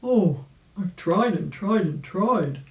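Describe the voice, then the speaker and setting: A woman reading the part of a mild, determined old rabbit gentleman in a children's storybook, slowly and expressively. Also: mid-range voice, lively intonation, voice pushed up. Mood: regretful, polite. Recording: roomy, echoing sound.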